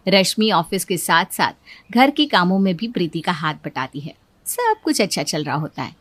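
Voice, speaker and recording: A woman's voice: lowish voice, sing-song, moderate pace, a bit bright, no echo. A woman reading scripted story narration.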